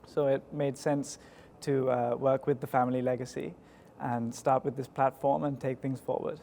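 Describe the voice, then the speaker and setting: A man in his twenties with a southern English accent, giving measured, articulate and polite interview answers in a formal way. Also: medium pitch, level tone, vocal fry, mellow. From United Kingdom. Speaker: school executive, interview guest. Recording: echoey hall with background noise.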